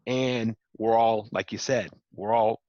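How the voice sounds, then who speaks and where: A man's voice: fairly low pitch, steady tone, takes it slowly, warm tone, no room sound, dull audio. A man speaking earnestly as an interview guest, building to emphatic repeated points. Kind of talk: reflective interview talk.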